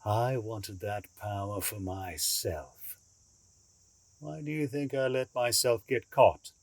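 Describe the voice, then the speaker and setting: Cunning asylum inmate, low husky voice, deliberate delivery, condescending, theatrical fanatic, audio drama. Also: male voice, no room sound. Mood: smugly intense. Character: cunning, menacing escaped asylum inmate, calm cult fanatic.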